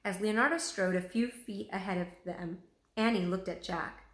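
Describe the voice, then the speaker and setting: A woman reading a children's story aloud in an animated, expressive way. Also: mid-range voice, normal speed. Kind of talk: expressive storybook read-aloud with character voices. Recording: roomy echo.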